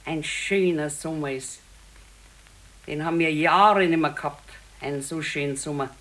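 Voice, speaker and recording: A woman's voice: low voice, normal speed, fairly bright tone, rough recording. A woman playing a patient, consoling elderly wife in a radio play, with a Bavarian accent and a simple, matter-of-fact, resigned manner. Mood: wistful. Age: older. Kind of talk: naturalistic 1970s radio drama acting.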